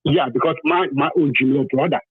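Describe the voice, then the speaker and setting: Over a tinny, phone-like connection, a husky Nigerian man calls in to a talk show. He speaks emphatically and with passion, circling back over phrases to stress them. The line has a roomy ring to it. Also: fairly high pitch, steady tone, fairly quick. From southern Nigeria. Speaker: caller to a talk programme. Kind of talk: impassioned phone-in testimony.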